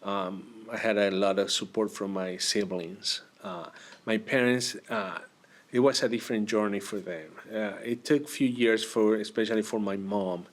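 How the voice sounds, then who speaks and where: A man from Argentina, a priest, telling his story in an interview, with many pauses and fillers. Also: on the low side, fairly even intonation. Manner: hesitant, many fillers and restarts. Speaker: priest, guest.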